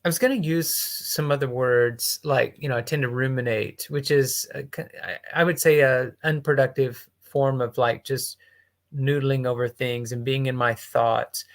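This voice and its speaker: Man, host, mid-pitched voice, thinking aloud, reflective, casual talk. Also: steady tone, moderate pace. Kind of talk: casual conversation.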